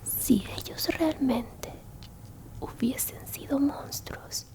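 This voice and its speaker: A woman playing a kindly old alien grandmother in a whispered ASMR roleplay, tenderly and unhurriedly comforting a lost human. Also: sits high. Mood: soothing, wise. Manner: tender, unhurried, reassuring, near-constant whisper.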